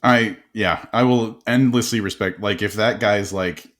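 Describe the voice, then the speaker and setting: A man hosting an interview podcast, telling quick stories in a casual, animated way with frequent laughs and restarts. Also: fairly low pitch. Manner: animated storyteller, laughs often, many restarts. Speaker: podcast host.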